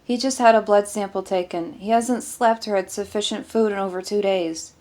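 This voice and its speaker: A woman's voice: medium pitch, steady tone, normal speed, a little mellow, natural voice. A woman voicing a conscientious, anxious female doctor in an audiobook story, earnest and guarded. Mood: urgent, defensive.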